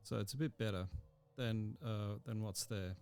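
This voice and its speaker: A man giving an informal software tutorial as a teacher, explaining each step as he goes. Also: fairly low pitch, steady tone, moderate pace, smooth.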